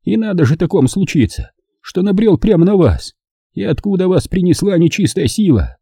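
Man reader plays a frail, tearful old villager, high and quavering, dark husky tone, begging. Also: wildly swooping pitch, moderate pace, no echo, studio quality, full character voice. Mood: lamenting. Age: older. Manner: tearful, whining, pleading. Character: frightened old German man pleading for his life.

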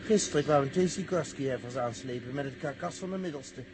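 A man's voice: mid-range voice, a little fast, lightly acted. An actor playing a nervous, suspicious rocket crewman who grumbles emphatically in an old radio drama. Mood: incredulous, sceptical. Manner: agitated, sceptical, emphatic. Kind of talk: 1960s radio drama acting. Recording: noisy old radio recording, vehicle hum.

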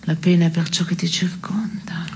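A creaky-voiced, cynical woman, played by an actress, speaks in terse, deadpan theatre lines. She is dry and pragmatic, with faint noise behind her. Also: lowish voice, normal speed, close to an everyday voice. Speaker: stage actor and playwright. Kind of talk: theatrical dialogue, absurdist radio theatre.

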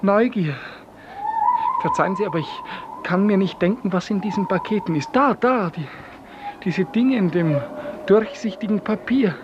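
A man's voice: sits high, moderate pace, close to an everyday voice. Apologetic clerk in vintage radio drama, male actor: faltering, polite, muffled, heavy background noise. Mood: hesitant, curious. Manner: hesitant, stammering, apologetic. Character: timid, apologetic, curious clerk, a polite gentleman. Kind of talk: 1950s German radio play acting. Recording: muffled, very noisy old radio recording.

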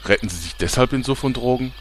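A man's voice: medium pitch, fast, slight background noise, natural voice. A man playing a pompous, sleazy, easily flustered TV reporter in an audio parody, with dramatic announcer delivery. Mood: sensational, dramatic.

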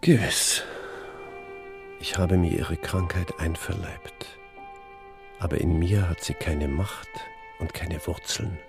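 A man's voice: sits low, slow, vocal fry, long pauses, over music, heavily acted. An actor playing a brooding, grief-stricken father in a German radio play, with a heavy, solemn delivery. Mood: sorrowful.

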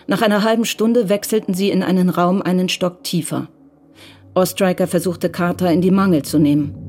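A woman's voice: lowish voice, fairly even intonation, moderate pace, a little mellow. A woman narrating a crime radio drama as a scripted literary story. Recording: clear music bed under narration.

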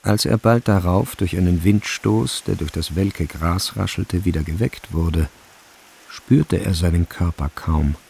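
A man narrating a literary radio play in a measured, solemn storyteller's manner. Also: sits low, gravelly, a little mellow. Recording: faint background hiss.

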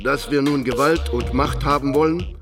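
An actor playing a solemn reader of peasant demands, declaiming formally in an audio drama. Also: male voice, mid-range voice, fairly even intonation, moderate pace, distant mic, over music, lightly acted. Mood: solemn, pleading. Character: solemn male reader of peasants' petition articles.

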